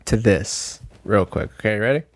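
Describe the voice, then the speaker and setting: A man's voice: on the low side, expressive, normal speed, vocal fry, dry recording. A young man co-hosting a podcast, joking and swearing in playful banter. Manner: joking, profane, playful rhymes. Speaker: podcast co-host. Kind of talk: casual banter.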